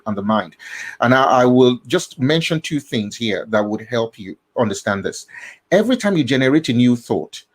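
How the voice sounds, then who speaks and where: A middle-aged man with a Nigerian accent, hosting a talk and explaining ideas about the brain, repeating key phrases and checking in with his listener. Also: medium pitch. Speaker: host.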